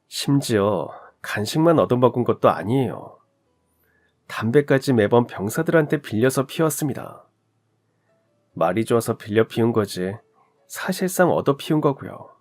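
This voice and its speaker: A man's voice: mid-range voice, expressive, normal speed, a little mellow, soft background music. A young man narrating a scripted story in a conversational way, speaking directly to the listener.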